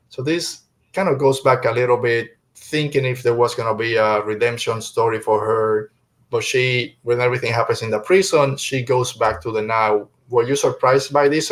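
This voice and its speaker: A man speaks as a podcast host in an easy conversational style. His pitch is average, and he talks through his thoughts informally, like someone chatting with guests. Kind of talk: casual podcast book discussion.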